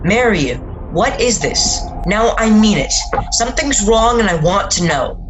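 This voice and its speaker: A woman's voice: mid-range voice, animated, a little slow, over music, natural voice, poor audio. A woman playing an alarmed, exasperated husband and father in an amateur audio horror drama, insistently demanding answers. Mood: suspicious, uneasy. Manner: insistent, questioning.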